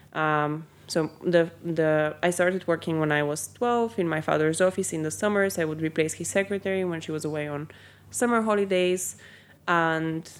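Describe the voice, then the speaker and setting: A young woman from Bulgaria, a company founder, chatting in an interview with many ums and likes. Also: sits low. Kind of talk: casual interview.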